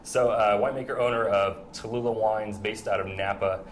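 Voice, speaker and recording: A man in his forties with a very low, deep voice answers questions in a relaxed, halting way, filling his pauses with drawn-out ums. A faint hum of room noise sits under him. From southern United States. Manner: easygoing, halting, many "uh"s. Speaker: winemaker guest. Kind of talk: casual interview answers. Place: indoor room with air conditioning.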